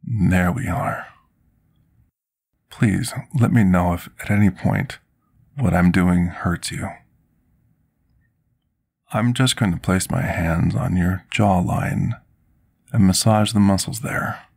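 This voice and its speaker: Male actor as humble apothecary servant, fantasy ASMR: deep, creaky voice, courteous, hesitant, devoted. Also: slow pace, long pauses, darkish tone, exaggerated voice. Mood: soothing, tender. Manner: formal, courteous, deferential, hesitant. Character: devoted male potion master, deferential servant to a dragon. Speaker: ASMR roleplay performer. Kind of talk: intimate fantasy ASMR roleplay.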